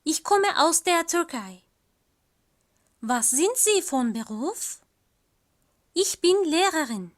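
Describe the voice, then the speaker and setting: A woman teaching a language lesson, reading each phrase slowly twice and then giving its translation. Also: extremely high pitch, big pitch swings. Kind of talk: slow, repeated language drill.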